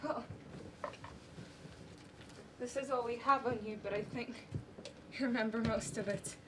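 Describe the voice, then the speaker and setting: A teenage female student acting a brisk, defensive nurse who plots an escape in an amateur stage play. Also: on the high side, fairly even intonation, natural voice. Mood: urgent, resolute. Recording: noisy live stage recording with clicks.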